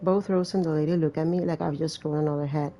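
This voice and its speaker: A woman's voice: sits low, fairly even intonation, quick pace, dark tone, lo-fi sound, slight background noise. A woman reading a visual novel aloud as a gamer, with casual, irreverent and sweary asides. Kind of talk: let's-play reading with casual commentary.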